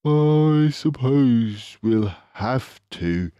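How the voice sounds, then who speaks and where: A mild, worried, respectable badger, put on by a man reading a storybook. The voice is mellow and extremely slow, hesitating earnestly as it picks through each phrase. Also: mid-range voice, expressive, clear, resonant tone, no room sound, highs cut off, pitched above the performer's own voice. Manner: hesitant, deliberate, bumbling. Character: gentle, anxious, respectable male badger, loyal and slow.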